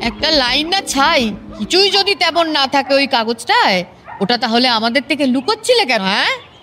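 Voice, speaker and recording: Very shrill, wailing elderly wife. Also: female voice, big pitch swings, moderate pace, bright, forceful tone, faint music behind, heavily acted. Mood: accusing. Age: older. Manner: wailing, scolding. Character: wailing, melodramatic elderly wife, accusing and aggrieved.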